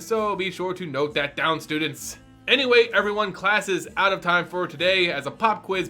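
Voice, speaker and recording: A man's voice: sits high, pushed and bright. A man narrating fantasy lore as a host, in a playful, scripted lecturing and storytelling style. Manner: playful lecturer addressing listeners as students. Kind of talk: dramatic story reading and scripted lore commentary.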